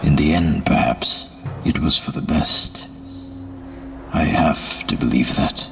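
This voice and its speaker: Man as extremely deep, somber haunted psychiatrist. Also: steady tone, vocal fry, a bit bright, faint music behind, heavily acted. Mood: mournful. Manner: measured, solemn. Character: somber haunted male 1920s psychiatrist reading his journal. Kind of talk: first-person audio drama narration.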